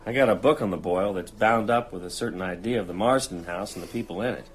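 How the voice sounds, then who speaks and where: Low-voiced, reflective male novelist played by an actor. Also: fast, faint music behind, natural voice. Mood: wry, casual. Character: thoughtful male novelist, wry, haunted by past events. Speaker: actor in a radio drama. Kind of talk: audio drama narration and naturalistic dialogue.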